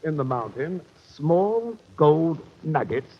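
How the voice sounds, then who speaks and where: An actor playing a genteel, roguish British captain, pompous and long-winded, in an old radio detective drama. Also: male voice, a little high, sing-song, slow pace, slightly rough, exaggerated voice. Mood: pompous storytelling. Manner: pompous, blustering, flowery phrasing. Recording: muffled old radio recording, faint noise.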